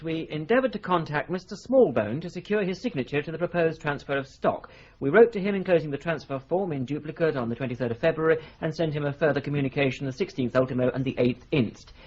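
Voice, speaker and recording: An actor playing a breezy, somewhat careless young partner of a law firm in a radio play, with a husky voice. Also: male voice, fairly high pitch, expressive, a little fast. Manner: breezy, offhand, slightly flustered. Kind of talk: radio drama acting. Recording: dull lo-fi radio recording.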